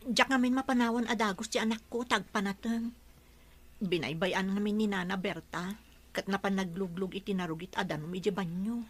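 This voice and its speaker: A woman's voice: mid-range voice, steady tone, brisk, close to an everyday voice. A young woman with an Ilocano accent playing a struggling, worried mother in an audio drama, speaking plaintively. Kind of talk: radio drama acting.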